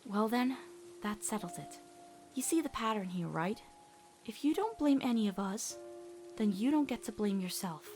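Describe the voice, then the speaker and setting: A woman voicing a gentle, steadfast teenage witch girl, speaking in a measured, reassuring way in a dramatic comic dub. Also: mid-range voice, lively intonation, soft background music, lightly acted. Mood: pointed, firm.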